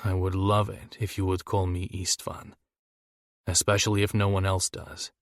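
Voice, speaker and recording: A confident, seductive male lover from a romance audiobook, played by a man. He speaks low and intimately with earnest devotion, in a dry, close sound. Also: little pitch movement, moderate pace, no room sound. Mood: tender.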